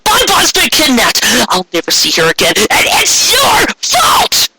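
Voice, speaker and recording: A man's voice: extremely high pitch, wildly swooping pitch, a little slow, sharp tone, a little hiss or hum, pitched above the performer's own voice, exaggerated voice. A man voicing a distraught, accusing female unicorn in a fantasy story reading, wailing shrilly. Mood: furious, anguished.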